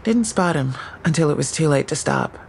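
A crisp young police officer. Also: medium pitch, expressive, normal speed, slight background noise. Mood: matter-of-fact. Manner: crisp factual reporting. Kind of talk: audio drama acting.

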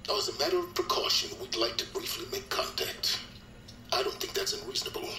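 Tough govt agent, sharp and pushy, echoey like on a call, audio drama. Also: male voice, high-pitched, huge pitch swings, brisk, slight background noise, heavily acted. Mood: angry, insistent. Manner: blunt, pressing, confrontational. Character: blunt, combative male American special agent.

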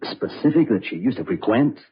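An actor playing an inquisitive amateur sleuth in an old-time radio mystery. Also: male voice, mid-range voice, lively intonation, fairly quick, breathy edge. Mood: probing. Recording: dull, noisy old radio recording.

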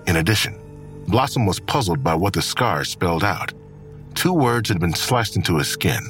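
A man's voice: low voice, very animated, moderate pace, a bit bright, soft background music. A man acting as the narrator of a grim crime audio drama, reading scripted prose. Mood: somber narration. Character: omniscient male narrator of a grim crime story.

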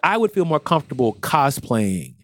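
A man's voice: fairly high pitch, sing-song, normal speed, no room sound, studio quality. A man co-hosting a casual podcast, talking earnestly and acting out quoted voices. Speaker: podcast co-host.

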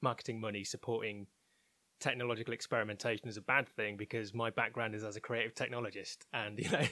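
A man with a mid-pitched voice speaks in a dry, close recording. He talks informally as an interview guest, candidly working through his opinions out loud. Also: no echo. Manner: informal, candid, thinking aloud. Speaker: interview guest, technology researcher at a broadcaster. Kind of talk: conversational interview, explaining opinions.

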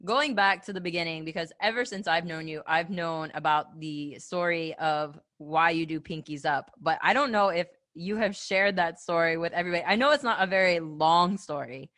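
A woman's voice: lowish voice, normal speed, few pauses, a bit bright. A woman from the American Midwest interviewing in a casual, friendly chat, agreeable and given to reminiscing. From Ohio, American Midwest.

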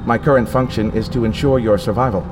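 Guy doing a formal ship computer voice, literal and impassive, medium pitch, music under it. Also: steady tone, fast, natural voice. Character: impassive male-voiced spaceship computer, coolly dutiful. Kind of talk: audio drama acting.

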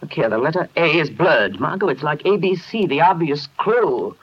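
Muffled 1930s radio detective hero, urgent. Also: male voice, on the high side, animated, moderate pace, husky. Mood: urgent, excited. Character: confident, urgent amateur detective, a crime-fighting man. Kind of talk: 1930s radio drama acting.

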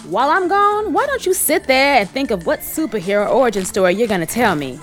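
A woman's voice: fairly high pitch, sing-song, brisk, fairly bright tone, soft background music. A woman acting a brash, cheeky sneak thief in an audio comedy drama, with glib, teasing, slangy patter. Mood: breezy, probing. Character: brash, cheeky sneak thief named Simon, sociable hustler.

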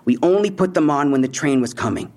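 An actor playing a defensive young robbery suspect in an audio drama, with husky, slangy, evasive talk and tough posturing over fear. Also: male voice, on the high side, animated, fast, a little mellow, lightly acted. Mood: cocky, casual. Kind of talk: naturalistic audio drama acting.

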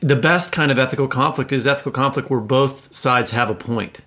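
A man's voice: mid-range voice, fairly quick, sharp tone. A man co-hosting a casual discussion, talking conversationally with a wry, teacherly turn. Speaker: podcast host and writing teacher.

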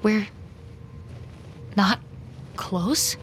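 A young woman playing an intense, needy vampire in an audio drama, speaking deliberately and pleadingly. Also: mid-range voice, soft background music. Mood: insistent. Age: young adult. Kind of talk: naturalistic audio drama acting.

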